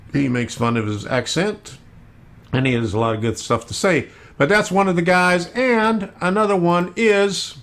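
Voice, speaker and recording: A man hosts a casual live stream from his computer desk, talking at a leisurely pace. His voice sits in an ordinary middle pitch, and he fills the gaps with relaxed fillers while he pulls things up on screen. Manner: slow, unhurried, with many fillers while working on screen. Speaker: host of a model railroading live stream.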